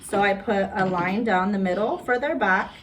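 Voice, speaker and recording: A woman talks to young children as a storyteller and craft host. Her delivery is sing-song and simple, at a medium pitch. She sounds some way from the microphone, with some noise in the recording. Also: normal speed. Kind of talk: picture-book read-aloud and craft demonstration for young children. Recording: noisy, distant microphone.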